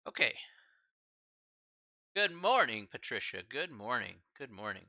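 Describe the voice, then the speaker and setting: A man's voice: mid-range voice, sing-song, a little slow, bright, forceful tone, no echo, muffled recording. A man teaching a live-streamed math class in a casual, chatty way, full of fillers and slang asides. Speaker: math instructor.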